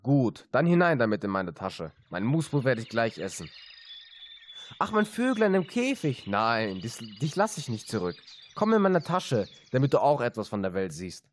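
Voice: mid-range voice, big pitch swings, normal speed, a little hiss or hum, lightly acted. A young-sounding performer playing a cheerful, boastful little tailor in a children's fairy-tale audio play, theatrical and self-satisfied. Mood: busy, chatty.

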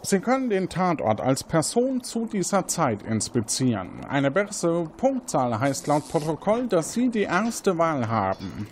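Quirky, slow-talking, animated inventor. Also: male voice, on the high side, slight background noise. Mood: instructive. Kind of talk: interactive audio drama acting.

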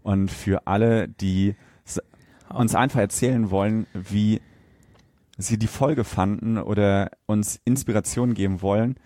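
A man bantering casually as a podcast co-host, informal and quick to jump in with questions. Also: on the low side, steady tone, mellow, no echo.

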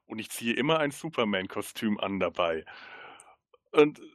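A man's voice: fairly low pitch, animated, moderate pace, no room sound, clean studio sound. A man co-hosting a podcast, casually retelling a story with enthusiasm and searching for words as he goes. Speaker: podcast co-host. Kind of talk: casual chat retelling a TV episode.

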